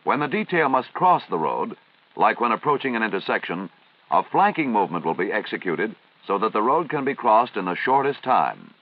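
An American man reading formal, measured, instructional narration in the style of a 1950s military training film. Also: on the low side, sing-song. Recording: dull, band-limited vintage film soundtrack.

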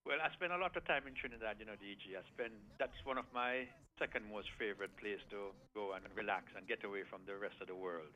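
A man from Jamaica joins a radio show by phone as the guest attorney. His voice is bright and forceful, and he talks in an expressive, emphatic way. The sound comes through a phone line into the studio. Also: on the low side, fast talker, few pauses.

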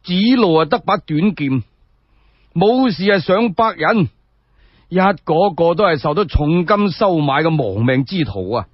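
A male Cantonese storyteller narrates in a high, very lively voice with a husky edge. He is colloquial and expressive, breaking off for chatty asides. The radio recording is dry and a little dull. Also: unhurried, no room sound.

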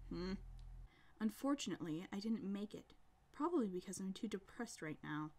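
A woman's voice: mid-range voice, expressive, moderate pace, darkish tone, natural voice. A woman voicing a dramatic, short-tempered female cartoon cat princess in an amateur comic dub, over music. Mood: irritated, gloomy. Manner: dramatic, swinging from sweet to snappy. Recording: background music under the voice.

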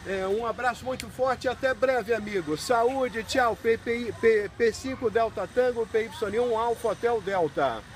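High-pitched older man on ham radio. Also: measured pace, hardly any pauses. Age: 60s. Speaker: amateur radio operator. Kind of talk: ham radio contact, calling with spelled-out callsigns. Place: outdoors in a park by a pool area. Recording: noisy outdoor recording with radio hiss.

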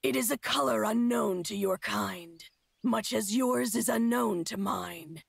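Husky, creaky feral huntress. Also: female voice, medium pitch, no echo, clean studio sound, exaggerated voice. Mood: grave, measured. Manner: slow, cryptic, solemn speech. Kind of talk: fantasy game character acting.

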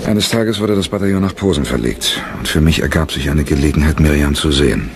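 An actor playing an embittered, haunted and defiant soldier turned theatre leader in a radio play. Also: male voice, extremely low pitch, fairly quick, noisy background. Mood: bitter recollection. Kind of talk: German radio play acting.

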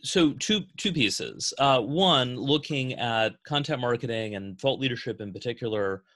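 A man hosting a business Q&A on a video call, speaking in a measured way with frequent fillers. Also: medium pitch, lively intonation, fairly bright tone, dry recording. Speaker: host of agency Q&A.

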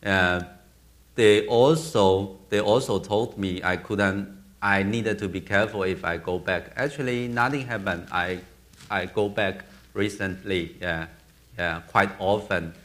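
A man in his thirties with a Burmese accent, a film director answering audience questions on a theater stage, halting and often restarting as he searches for words. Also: fairly low pitch, a bit bright. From Myanmar.

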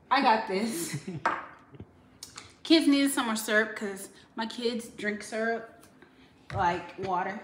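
A woman's voice: a little high, a bit bright. A woman in her thirties chatting casually to viewers in a grocery haul vlog, filmed in her home kitchen. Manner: chatty, addresses viewers directly. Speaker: vlogger. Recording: echoey kitchen with faint noise.

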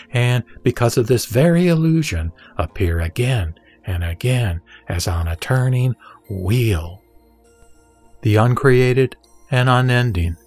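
A man reading scripture as narrator of an audio drama, in a solemn, measured and deliberate way. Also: mid-range voice, a little mellow. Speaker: sutra reciter and narrator. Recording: background music under the voice. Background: music.

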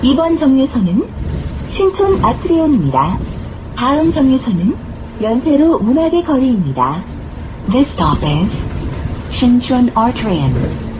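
A woman's voice: fairly high pitch, sing-song. Lady doing bus stop announcements, formal, really melodic, kinda dull sound w/ engine noise. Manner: measured, formal, clearly phrased. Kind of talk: scripted transit announcement. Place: played over speakers inside a moving bus. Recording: muffled recording with clear vehicle noise. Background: bus engine and road noise.

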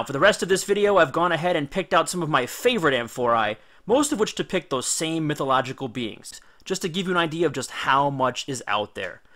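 A man narrates a scripted educational piece in a voice pitched a little above average. He sounds like an enthusiastic teacher telling a story. His phrasing is informal, and he leans emphatically on key words. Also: fairly bright tone.

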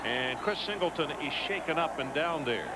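Steady play-by-play football announcer man. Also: on the high side, normal speed, very noisy. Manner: steady, informative broadcaster delivery. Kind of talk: televised college football play-by-play.

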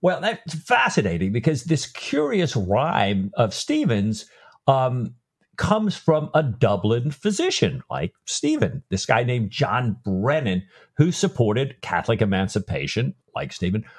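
A man's voice: mid-range voice, huge pitch swings, unhurried. A man co-hosting a podcast, telling a historical anecdote with enthusiasm. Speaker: podcast co-host. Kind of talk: casual podcast discussion.

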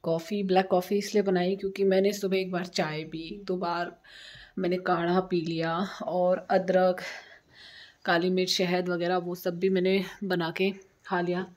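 Woman, 30s, home vlogger, unwell with a sore throat, tired chatty delivery into a handheld phone, slightly low pitch. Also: little pitch movement, a little slow, darkish tone. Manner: tired, chatty, talks to viewers while doing chores. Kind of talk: casual vlog chat to camera. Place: small home kitchen and rooms, indoors. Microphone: phone held at arm's length.

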